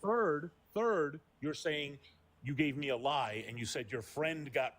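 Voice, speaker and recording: A man's voice: on the high side, unhurried, a bit bright. A man co-hosting a heated debate, combative and mocking, who keeps repeating his challenges.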